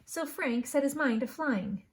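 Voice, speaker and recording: A woman, a school principal, reading a children's story aloud in an expressive, unhurried way. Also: high voice, a little hiss or hum.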